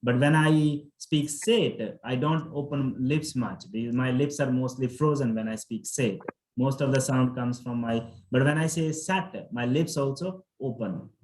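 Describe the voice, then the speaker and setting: A man's voice: mid-range voice, measured pace, darkish tone. A man coaching students for an English test, instructively correcting pronunciation and repeating words for emphasis. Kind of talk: interactive lesson, correcting pronunciation. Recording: echoey room sound.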